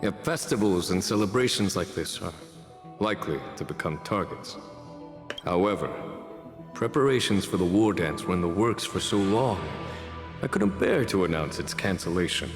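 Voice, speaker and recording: Game commander: low, assured, composed strategist, long reverb. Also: male voice, animated. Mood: calm explaining. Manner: measured, assured, formal. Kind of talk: video game cutscene dialogue. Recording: echoey hall, faint music.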